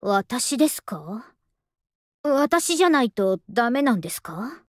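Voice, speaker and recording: A woman playing a lazy, polite, softly affectionate young masked soldier in an anime game, with an understated, languid delivery. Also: on the high side, very animated, measured pace, no room sound, clean studio sound. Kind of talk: Japanese anime game voice acting.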